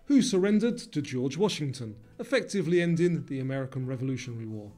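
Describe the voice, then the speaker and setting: A man reading scripted quiz narration in a measured way, as the narrator posing questions. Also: mid-range voice, animated, mellow, soft background music.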